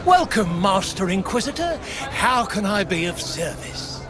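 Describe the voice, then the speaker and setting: High-voiced, deferential merchant. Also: male voice, very animated, unhurried, music in the background, crowd noise. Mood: obliging. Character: obliging, deferential male merchant. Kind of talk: video game voice acting.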